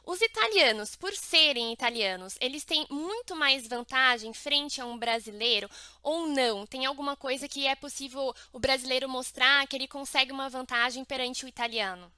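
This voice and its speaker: A woman's voice: high-pitched, normal speed, few pauses, pushed and bright, smooth, no room sound, clean studio sound. A woman hosting a live interview for an Italian course, asking questions and giving short encouraging replies. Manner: frequent short backchannels, encouraging.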